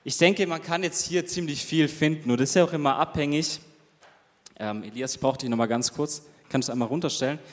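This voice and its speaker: A man's voice: fairly high pitch, brisk. Chatty young man in a reverberant hall. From Germany. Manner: casual, chatty, many fillers and rhetorical questions. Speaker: youth group leader. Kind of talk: interactive talk to a youth group.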